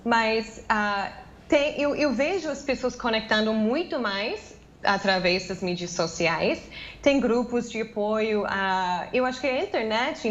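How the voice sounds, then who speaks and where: A woman's voice: mid-range voice, dull audio, slight background noise. An American woman whose first language is English, speaking from her home office in a remote news interview, chatty, with many fillers and restarts. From United States. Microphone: video call.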